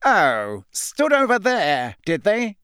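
A man's voice: high voice, huge pitch swings, slow, clean tone, no echo, studio quality, pitched above the performer's own voice, heavily acted. A man voicing a cold, curt, enraged older male official in an audiobook, snapping accusations. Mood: scornful, suspicious. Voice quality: sharp, curt. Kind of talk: audiobook character voice.

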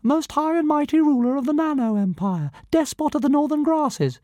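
A man voicing a tiny, arrogant male dragon who speaks grandly and insults everyone, in a squeaky, haughty manner. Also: animated, normal speed, mellow, no room sound. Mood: grandiose. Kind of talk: audiobook character voice.

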